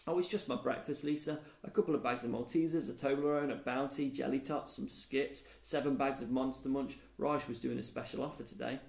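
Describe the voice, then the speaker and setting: A man's voice: mid-range voice, steady tone, brisk, creaky, hardly any pauses, a little mellow, lightly acted. A man voicing a friendly, greedy schoolboy in a storybook, chatty and matter-of-fact. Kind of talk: storybook character dialogue. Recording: echoey room.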